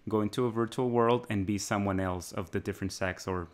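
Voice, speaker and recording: A man's voice: lowish voice, steady tone, fairly quick. A man co-hosting a podcast, raised in Mexico, chatting casually in a rambling, musing way and laughing often. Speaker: podcast co-host. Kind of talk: casual chat.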